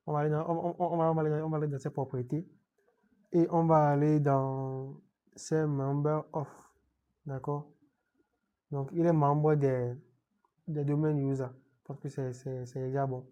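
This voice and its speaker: A man with a head cold walks through a computer setup in an unscripted screencast tutorial. He stumbles and repeats words, pausing often to check in with the viewer. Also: fairly high pitch, mellow. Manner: halting, many repeated words and restarts. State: has a cold, clearing throat. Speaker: IT tutorial presenter.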